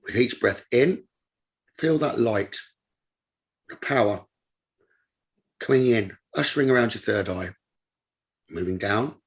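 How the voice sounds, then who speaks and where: Husky low-voiced man guiding a meditation. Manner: calm, instructive, unhurried phrasing. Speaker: meditation teacher. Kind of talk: guided meditation, spoken softly in short phrases with pauses.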